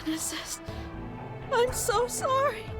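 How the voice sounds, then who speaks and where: A woman's voice: extremely high pitch, expressive, slow, exaggerated voice. A woman playing an earnest young fan club member in a video game. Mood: distressed. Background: game music.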